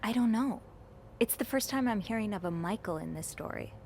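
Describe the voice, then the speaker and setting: An actress playing an earnest, polite young maid in a 1950s hotel mystery game, with an inquisitive manner. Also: female voice, mid-range voice, expressive, brisk, a little mellow, a little hiss or hum, close to an everyday voice. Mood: intrigued. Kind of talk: video game cutscene acting.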